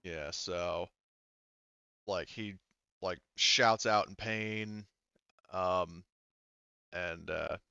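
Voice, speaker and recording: Male game master, low smooth voice, casual and halting, thinking aloud; dry, slightly dull recording. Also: sharp tone, no room sound. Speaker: game master of a tabletop roleplaying game. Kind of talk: casual unscripted game narration and rules talk.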